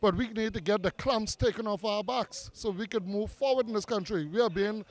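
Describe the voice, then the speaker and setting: Bahamian activist guy, pretty high voice, keeps repeating stuff to push his point, outside at a park. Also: fairly quick. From Bahamas (Grand Bahama). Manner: earnest, persuasive, piles up figures and repeats for emphasis. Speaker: community activist interviewee. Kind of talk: impassioned interview answers. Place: outdoor park at a demonstration.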